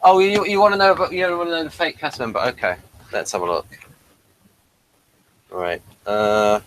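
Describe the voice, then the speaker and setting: A man's voice: a little high, fairly even intonation, normal speed, slight background noise, poor audio. A man hosting a review show in a casual, conversational style. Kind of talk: casual chat.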